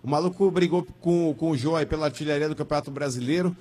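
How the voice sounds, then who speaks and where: A male radio pundit argues over players in a fast, emphatic, slangy way. His voice sits a little high and has a husky edge. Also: a little mellow. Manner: emphatic, slangy, calls people "irmão" and "velho". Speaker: radio sports commentator. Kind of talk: casual sports radio chat.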